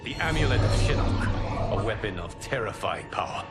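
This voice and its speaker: Wise, low-voiced, humble protector. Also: male voice, expressive, over loud music. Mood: urgent. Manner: measured, formal, respectful. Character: wise, humble male protector, earnest and grave. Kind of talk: dramatic video game cutscene acting.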